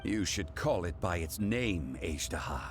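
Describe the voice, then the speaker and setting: An actor playing a composed, dignified immortal god in human form in a video game, with formal delivery. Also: male voice, low voice, expressive, measured pace. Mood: grave. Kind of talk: dramatic video game voice acting. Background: game music.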